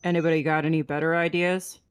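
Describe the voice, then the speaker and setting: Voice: medium pitch, level tone, fast talker, smooth, no room sound, lightly acted. A performer playing a practical, no-nonsense spaceship captain in a sci-fi table read. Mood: businesslike.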